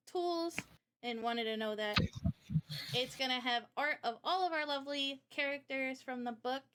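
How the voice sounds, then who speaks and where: A woman's voice: on the high side, bright, forceful tone. A young woman presenting casually on a livestream, chatty, informal and enthusiastic, like a developer showing off a project.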